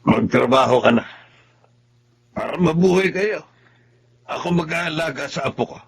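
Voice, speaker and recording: An actor playing a gruff, cynical old father who nags, in radio melodrama style. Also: fairly high pitch, expressive, normal speed, exaggerated voice. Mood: bossy, insistent. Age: older.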